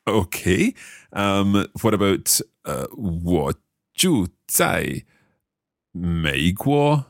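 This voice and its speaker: A man's voice: sits low, animated, drawn-out words, creaky, no echo, studio quality. A man from Scotland hosting a podcast language lesson, speaking carefully and repeating phrases aloud. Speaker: language learner and podcast host.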